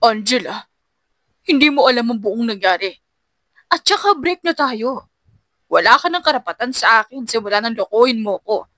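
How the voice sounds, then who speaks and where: A woman's voice: fairly high pitch, lively intonation, moderate pace, pauses often, fairly bright tone, no room sound. A woman voicing a guilty, defensive young man pleading with his ex in an audio drama.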